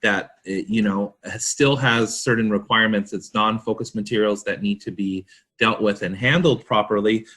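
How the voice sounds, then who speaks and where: Low-voiced man, halting explainer. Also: fairly even intonation, a bit bright. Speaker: consultant discussing a recycling standard. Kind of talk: explanatory panel discussion.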